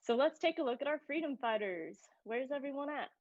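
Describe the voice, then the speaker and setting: A woman co-hosts a live stream with a bright, upbeat scripted delivery. Her voice sits slightly high. The audio is dry and a little muffled. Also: lively intonation, fairly quick, no room sound. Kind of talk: scripted hosting.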